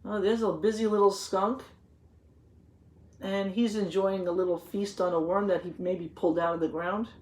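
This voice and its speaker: A woman reading a children's picture book aloud, pausing to explain things simply and put questions to the young listeners. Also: mid-range voice, steady tone, darkish tone. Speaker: read-aloud teacher.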